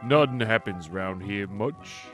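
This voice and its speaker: A man voicing a big, friendly, slow-witted creature janitor with simple, halting speech in an audio drama. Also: fairly low pitch, expressive, faint music behind.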